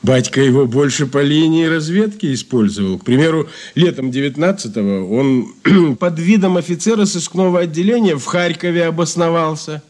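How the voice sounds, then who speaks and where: An actor in a Soviet radio drama playing a wry, folksy informant who talks colloquially, with halting fillers. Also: male voice, fairly high pitch, lively intonation, a little mellow, close to an everyday voice. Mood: chatty recounting. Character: male ex-Makhnovist informant, folksy, wry and laconic.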